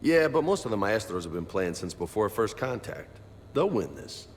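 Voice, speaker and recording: Animated, brash soldier. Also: male voice, lowish voice, moderate pace. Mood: easygoing. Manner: slangy, swaggering, Spanish words mixed in. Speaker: video game voice actor. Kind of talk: casual game dialogue acting. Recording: reverberant room, faint ambient noise.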